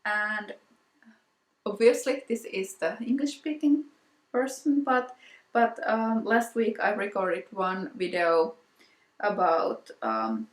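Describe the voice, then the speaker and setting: A woman with a Finnish accent, a native Finnish speaker, hosting a casual knitting vlog, soft-spoken with frequent ums and repeated words. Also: mid-range voice, lively intonation, takes it slowly, roomy sound. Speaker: knitting podcast host. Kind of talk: casual show-and-tell vlog.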